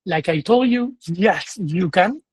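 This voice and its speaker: Spanish guy coaching on an exam, fairly high voice, dry close sound, lots of ums and restarts. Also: animated, slow pace, breathy edge, dry recording. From Spain. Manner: speaks in short phrases with many fillers and restarts; earnest, advising tone. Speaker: exam trainer. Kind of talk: online training session.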